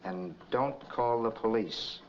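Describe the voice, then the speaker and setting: A man's voice: on the low side, slow pace, a little hiss or hum. A man playing a cold, commanding killer in a 1940s crime film, talking in curt, tough slang. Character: cold, menacing male killer and escaped convict in crime film. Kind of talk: 1940s film noir acting. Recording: dull vintage film soundtrack, music behind.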